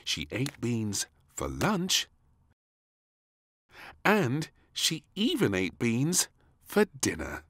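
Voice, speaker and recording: A man's voice: medium pitch, huge pitch swings, extremely slow, pauses often, fairly bright tone, dry recording. A man reading a children's storybook aloud as narrator, expressively, for very young listeners.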